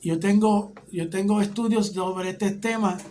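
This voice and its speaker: Un hombre con acento puertorriqueño que enseña una clase bíblica, explicando paso a paso y preguntando "¿ok?" a menudo. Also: male voice, high-pitched, fairly even intonation, a little slow, darkish tone, soft background music. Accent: Puerto Rican Spanish. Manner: explanatory, often checks in with "¿ok?". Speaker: Bible teacher. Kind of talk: teaching a Bible class.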